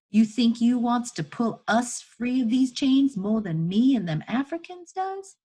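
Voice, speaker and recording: Woman voicing a weary, motherly woman, husky and firm. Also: fairly high pitch, mellow, pitched above the performer's own voice. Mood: stern, resigned. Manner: old-time rural Southern dialect, admonishing. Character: weary, firm, motherly enslaved woman, scolding yet tender.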